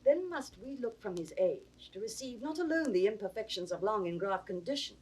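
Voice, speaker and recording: A woman playing a cold, calculating princess in classical Shakespearean style, husky and breathy, urgent and conspiratorial. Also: mid-range voice, expressive, fairly quick, a little mellow, poor audio. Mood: cold, plotting. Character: cold, calculating princess plotting against her aged father. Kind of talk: classical Shakespearean stage acting.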